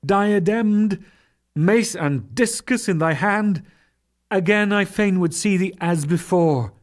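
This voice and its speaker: A man voicing an awestruck, reverent warrior in a dramatic scripture reading, fervent and pleading, with declamatory pauses. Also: high voice, voice pushed up.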